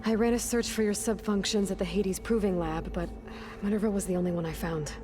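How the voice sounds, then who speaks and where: Woman acting young game heroine, female hunter, determined, pragmatic, terse and dry delivery, naturalistic, clear musical score underneath. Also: medium pitch, fairly even intonation, a little fast, music in the background, close to an everyday voice. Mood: determined, questioning. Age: young adult. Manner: direct, terse, dry, businesslike.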